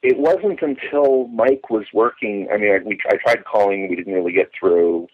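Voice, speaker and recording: Muffled, husky low-voiced man reminiscing. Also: moderate pace. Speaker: interview guest. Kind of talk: conversational reminiscing about radio drama. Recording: muffled, band-limited phone line.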